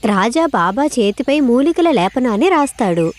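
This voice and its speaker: A woman reading scripted narration for an animated moral story as its storyteller. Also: on the high side, normal speed, a little hiss or hum.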